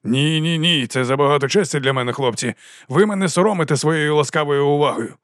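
A man's voice: a little high, a little fast, fairly bright tone, no echo, clean studio sound, natural voice. A man voicing a flamboyant, roguish mercenary captain in an audiobook, theatrical and self-mocking as he rallies his troops in a grandiose oration. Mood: mock-modest. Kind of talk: audiobook character acting.

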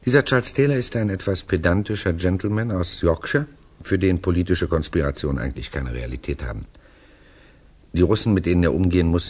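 An urbane storyteller in a 1960s radio play. His voice is low with a husky grain. He narrates conversationally, measured and reflective. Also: exaggerated voice. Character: urbane male writer recounting a tale to a friend.

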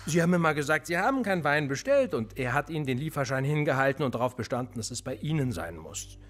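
A cynical husky cop. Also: male voice, medium pitch, quick pace, faint music behind. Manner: smug, needling, lively intonation. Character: cool, cynical male police interrogator. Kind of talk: radio drama acting.

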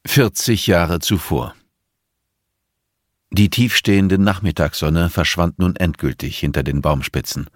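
A man's voice: low-pitched, measured pace, no echo, studio quality. A man reading scripted prose as the narrator of an audiobook.

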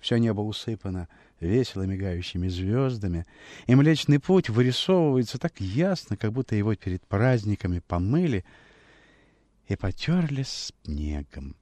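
Guy reading a classic story on radio, deep voice, measured and expressive, really dry close sound. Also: no room sound. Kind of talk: expressive literary narration for radio.

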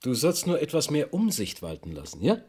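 An actor playing a curt, commanding boss with a husky voice in a radio drama. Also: male voice, mid-range voice, huge pitch swings, fairly quick, a little mellow. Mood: admonishing. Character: curt, commanding male boss running a meeting.

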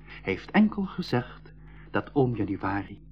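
A man's voice: fairly low pitch, very animated, a little slow, soft background music. A man with a Flemish accent playing a cautious, sensible eighteen-year-old brother in a 1980s school radio play, acting and narrating theatrically. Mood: explanatory narration. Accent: Belgian Dutch (Flemish). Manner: theatrical, reasoning, storytelling delivery. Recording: dull lo-fi 1980s radio recording.

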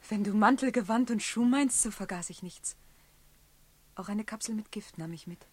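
An actress performs a woman devoted to a lover who betrays her. Her voice is slightly husky, with poetic, formal diction in the manner of a classic radio drama. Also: medium pitch, moderate pace, a little mellow, natural voice. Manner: literary, poetic stage diction. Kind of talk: classic German radio play acting.

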